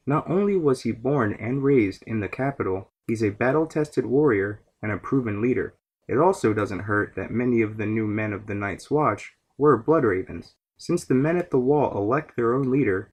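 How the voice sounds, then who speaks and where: A man delivers scripted narration as the host of a lore series. His voice is mid-pitched and slightly husky. He explains things in a measured, orderly way. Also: darkish tone.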